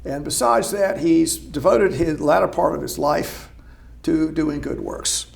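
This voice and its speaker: Older man with a gravelly voice. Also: fairly high pitch, measured pace. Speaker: host. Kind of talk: formal introduction.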